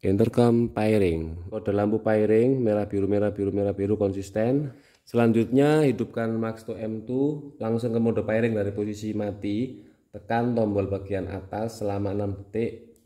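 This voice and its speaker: A man's voice: fairly low pitch, fairly even intonation, moderate pace, warm tone. A man with a Javanese Indonesian accent, giving a casual how-to demonstration as a tutorial host. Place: indoors at home, small room.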